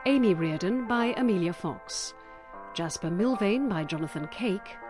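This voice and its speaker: A woman's voice: mid-range voice, lively intonation, measured pace. A woman reading a scripted broadcast announcement as a radio announcer. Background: music.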